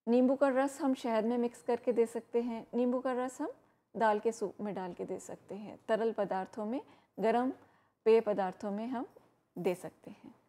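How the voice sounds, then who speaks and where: A woman giving health advice on an educational program, explaining steadily with frequent pauses and fillers. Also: a little high, steady tone, dark tone. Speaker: health expert guest. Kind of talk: educational talk on live program.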